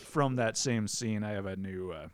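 A man co-hosting a podcast in casual chat, sarcastic and quick with asides, often laughing. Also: fairly low pitch, smooth, no room sound, clean studio sound. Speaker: podcast co-host.

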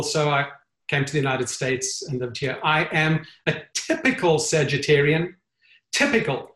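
A man's voice: mid-range voice, fairly bright tone. Man, echoey video call. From South Africa. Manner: earnest, halting with many fillers. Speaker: guest. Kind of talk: storytelling in an online interview.

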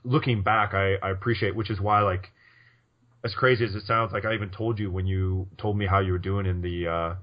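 A man's voice: low-pitched, steady tone, a little fast, dull audio. A man co-hosting a poker podcast in casual chat, rambling with lots of restarts and fillers. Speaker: podcast co-host.

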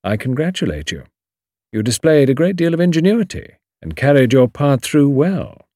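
A man voicing a shrewd, grave older English lawyer in an audiobook, measured, precise and formal. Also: mid-range voice, sing-song, mellow, dry recording, clean studio sound, voice pushed up. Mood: dry, probing. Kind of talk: audiobook character dialogue.

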